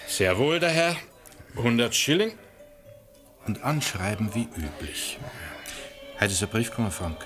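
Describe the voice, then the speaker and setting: An actor playing a familiar, nagging café waiter in a radio play. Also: male voice, mid-range voice, lively intonation, a little slow, pauses often, over music, natural voice. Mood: courteous.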